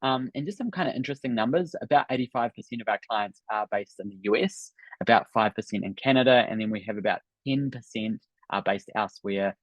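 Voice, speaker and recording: A man's voice: fairly low pitch, brisk, dry recording, rough recording. A man with a New Zealand accent, hosting a live online coaching summit in an upbeat, encouraging, chatty way. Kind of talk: live webinar talk to an audience. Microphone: video call.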